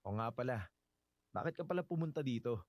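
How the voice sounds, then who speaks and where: A man's voice: medium pitch, expressive, brisk, dry recording, dull audio, natural voice. A man with a Filipino accent playing a devoted suitor in love in a romantic radio drama, earnest and emotive. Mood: friendly, casual.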